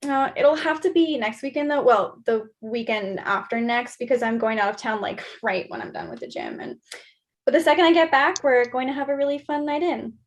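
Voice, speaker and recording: A woman's voice: fairly high pitch, big pitch swings, a little fast. A woman acting a breezy, coaxing young roommate with casual chatter in a naturalistic stage play reading. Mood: coaxing, upbeat.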